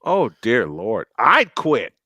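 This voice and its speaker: A man calling a football game play by play, getting excitable and jumping up on big plays, and trading banter with co-hosts. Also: medium pitch, slow pace, dry recording. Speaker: play-by-play commentator. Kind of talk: live game commentary with banter.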